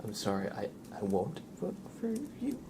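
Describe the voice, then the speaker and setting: A man livestreaming ASMR, chatting softly and playfully and often dropping into whispers. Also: on the low side, wildly swooping pitch, moderate pace, noisy background. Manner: playful, mock-serious, doting talk about and to a pet. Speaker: ASMR live streamer.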